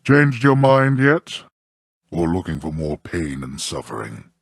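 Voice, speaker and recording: A man playing a stern, scornful old god of the underworld in a video game, speaking with pompous, archaic, disdainful diction and a very deep, gravelly voice. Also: wildly swooping pitch, unhurried, no echo, clean studio sound, exaggerated voice. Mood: sardonic contempt. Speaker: voice actor. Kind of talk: theatrical scripted video game character lines.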